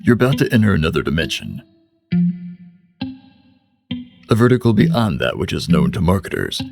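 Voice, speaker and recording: An ominous storyteller opens an eerie anthology drama in a low, gravelly voice. He is portentous and wry, measured and theatrical, like vintage uncanny television, with faint music underneath. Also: exaggerated voice. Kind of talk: scripted narration in vintage eerie TV anthology manner.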